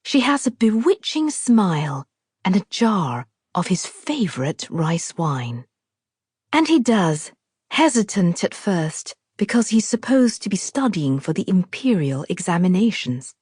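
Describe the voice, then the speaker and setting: Slow, expressive woman narrator, low and husky. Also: dry recording, studio quality. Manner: measured, deliberate, expressive storyteller. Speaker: author narrating her own audiobook. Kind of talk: scripted literary narration, storytelling.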